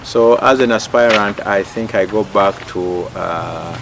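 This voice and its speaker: A man in his sixties from Uganda, a missionary priest answering interview questions at an easy pace, with frequent fillers and quiet chuckles. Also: on the low side, measured pace, noisy background. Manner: hesitant, many fillers, chuckles often.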